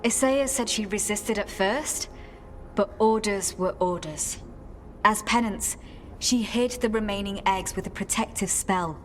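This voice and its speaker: An actress playing an earnest, hesitant young former recruit in a fantasy video game. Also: female voice, medium pitch, measured pace, a little hiss or hum, lightly acted. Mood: earnest, uneasy. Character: earnest, guilt-laden young female former recruit of an order. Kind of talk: video game dialogue acting. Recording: long reverb, music behind. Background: music.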